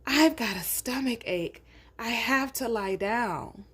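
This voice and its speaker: A woman's voice: fairly high pitch, takes it slowly, fairly bright tone, breathy edge, exaggerated voice. A woman voicing an eager, agreeable young boy goat with a big appetite and simple, earnest replies in a storybook. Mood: queasy.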